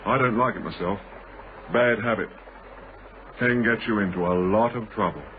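A man's voice: on the low side, normal speed, natural voice. An actor playing a deferential male subordinate making a clipped, matter-of-fact report in a radio thriller. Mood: dry, matter-of-fact. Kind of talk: British radio thriller acting. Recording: thin, phone-like, hissy old radio recording.

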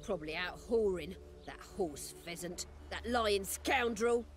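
A woman's voice: medium pitch, unhurried, over music, close to an everyday voice. An actress playing a fiery, plain-spoken townswoman in a video game, with an earthy, blunt manner. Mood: scornful, indignant.

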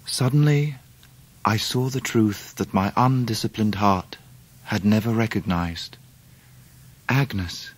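A man's voice: medium pitch, dry recording, close to an everyday voice. An actor playing a reflective, melancholy grown man, narrating his own life in a radio drama. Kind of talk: dramatised first-person narration.

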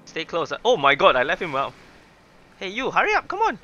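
A young man doing excitable, chatty live game commentary, exclaiming and reading dialogue aloud. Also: high voice, brisk, muffled recording, soft background music. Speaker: gaming streamer.

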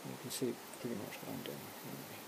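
A lecturer giving an informal software tutorial, talking through a demonstration hesitantly with muttered asides and interruptions to themselves. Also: medium pitch, lively intonation, fast talker. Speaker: music technology lecturer. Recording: clearly noisy recording with room ambience.

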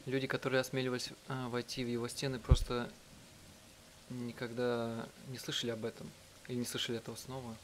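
A man reading out a live translation of a visual novel in a halting, self-correcting way. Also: medium pitch, monotone, a little hiss or hum. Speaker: let's player reading out translations. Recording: music behind the voice. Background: game music.

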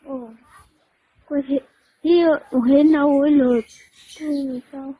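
A woman's voice: high voice, flat delivery, drawn-out words, dark tone. A woman with a regional Bangladeshi Bengali accent talking informally and insistently on a recorded phone call, repeating herself. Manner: insistent, repetitive. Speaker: caller.

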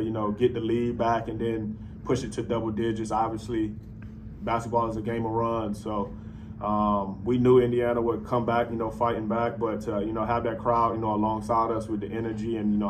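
Low-voiced young man, flat relaxed answers. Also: slight background noise. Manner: relaxed, many "you know" fillers. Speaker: professional basketball player. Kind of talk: postgame press conference answers. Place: arena press room. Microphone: table mic on stand.

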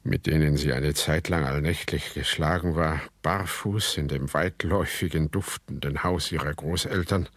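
Very deep, husky, brooding storyteller. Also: male voice, fairly even intonation, fairly bright tone, no room sound. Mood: reflective. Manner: measured, literary, brooding. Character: world-weary fugitive man telling his family saga. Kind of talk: radio drama narration.

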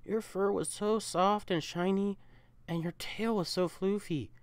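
A woman's voice: medium pitch, fairly even intonation, a little slow, no echo, close to an everyday voice. A young woman voicing an affectionate, teasing, protective catboy boyfriend in an intimate, coaxing ASMR roleplay. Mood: playful, chiding. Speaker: audio roleplay performer.

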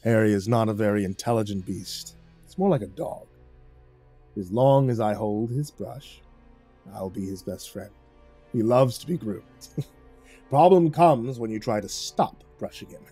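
Stern formal keeper, low and mellow, unflappable, voiced by a male narrator. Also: slightly rough, faint music behind. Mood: calm, instructive. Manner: measured, formal, no contractions.

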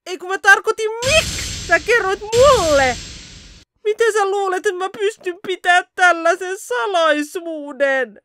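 A woman voicing a loud, gullible, hot-headed young ninja boy in an anime parody dub. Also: extremely high pitch, no room sound. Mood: shocked. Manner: excitable, exclaiming, stammering when startled.

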